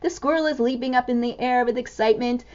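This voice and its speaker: A woman storyteller reading a picture book to young children in an animated, exclamatory way, full of expressive wonder. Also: fairly high pitch, quick pace, dull audio. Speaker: storytime reader and teacher.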